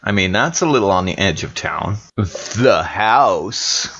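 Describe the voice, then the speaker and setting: A man's voice: lowish voice, animated, unhurried, a bit bright, breathy edge, lo-fi sound. A man with a laid-back, slangy way of talking, improvising as a player in a tabletop roleplaying game.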